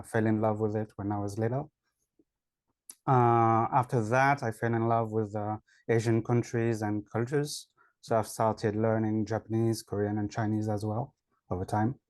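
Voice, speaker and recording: A man's voice: fairly low pitch, little pitch movement, a little slow, a little mellow, dry recording, studio quality. A man with a French accent, an online English teacher, answering interview questions in a hesitant way with many fillers. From France.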